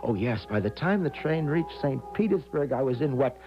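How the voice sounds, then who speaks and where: A man's voice: mid-range voice, expressive, normal speed, close to an everyday voice. An actor playing a timid, naive, anxious young American man in a 1970s radio drama, stammering and hesitant as he talks and narrates. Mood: dreamy, elated. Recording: dull lo-fi 1970s radio broadcast, music behind.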